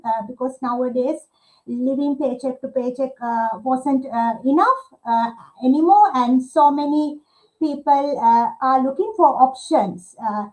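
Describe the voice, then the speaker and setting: High-pitched sri lankan-born woman hosting a livestream, lots of uhs, kinda hesitant. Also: darkish tone, low-quality recording. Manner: hesitant, many filler uhs. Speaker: livestream host. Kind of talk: live online interview.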